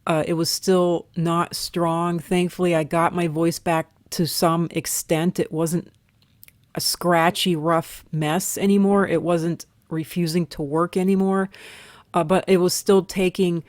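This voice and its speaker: A woman narrating an art video in a casual voiceover, her voice still rough and weak from recovery, rambling and hesitant. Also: lowish voice, level tone, a little slow, a little mellow, no echo. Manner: rambling, hesitant, with fillers and restarts. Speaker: artist vlogger.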